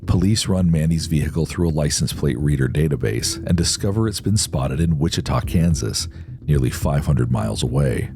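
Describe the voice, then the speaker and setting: A man's voice: extremely low pitch, lively intonation, moderate pace, darkish tone, slight background noise. A man reading scripted true-crime narration in a grave, dramatic storytelling manner. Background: background music.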